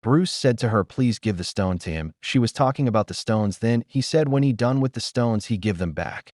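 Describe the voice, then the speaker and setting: A man with a lowish voice gives a simple spoken retelling, like an amateur reviewer. His pitch jumps around a lot as he moves through short, loosely built sentences. The sound is dry, with no room around it. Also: lively intonation, normal speed, darkish tone, no room sound.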